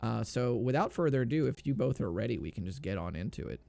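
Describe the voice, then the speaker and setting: Gravelly laid-back male game commentator. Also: medium pitch, animated, fast talker, dark tone, dry recording. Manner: laid-back, filler-heavy explaining. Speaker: speedrun race commentator.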